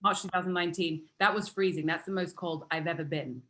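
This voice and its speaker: A woman's voice: lowish voice, steady tone, fairly quick, bright, forceful tone. A woman from London with a British accent, chatting casually as an actor guest in a friendly way. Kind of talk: casual conversation.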